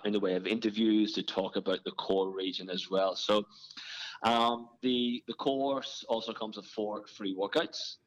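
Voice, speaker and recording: A middle-aged man from Ireland with an Irish accent, talking as a guest in a relaxed, chatty way, often restarting his sentences. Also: lowish voice, lo-fi sound. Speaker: guest, fitness business founder. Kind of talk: conversational interview.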